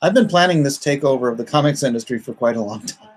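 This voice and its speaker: A man's voice: mid-range voice, lively intonation, quick pace. A middle-aged man with a nasal voice, chatting casually as the host of a livestream. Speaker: host, comic artist.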